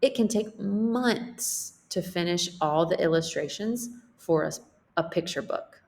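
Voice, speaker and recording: A woman teacher reading a children's picture book aloud in a deliberate, expressive way. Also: on the low side, creaky.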